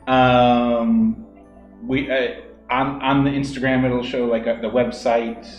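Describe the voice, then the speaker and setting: A man co-hosting an English lesson stream, talking in an unhurried, loose way full of fillers and restarts. His voice sits at a middling pitch and carries a roomy echo, with soft music playing underneath. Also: muffled recording. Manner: relaxed, rambling, with many fillers and restarts. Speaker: English teacher co-hosting a livestream. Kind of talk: casual livestream chat.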